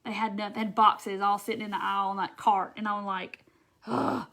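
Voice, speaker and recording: A woman's voice: mid-range voice, little pitch movement, moderate pace, fairly bright tone. A woman with a Southern US accent hosting a casual craft live stream, chatting folksily with viewers and reading their comments by name.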